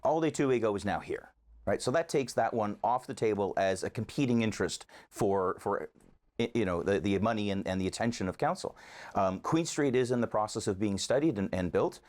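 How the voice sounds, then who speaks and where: A man with a fairly low voice speaks as a guest in a conversational interview. He comes across as an earnest local advocate, setting out his argument one point at a time. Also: fairly quick, darkish tone.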